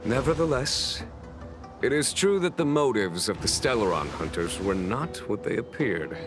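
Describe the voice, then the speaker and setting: A man playing a composed, courteous military general in a game cutscene, speaking in a formal, deliberate, authoritative way. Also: medium pitch, very animated, music in the background, close to an everyday voice. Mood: composed, grave. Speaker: voice actor. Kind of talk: scripted game cutscene dialogue.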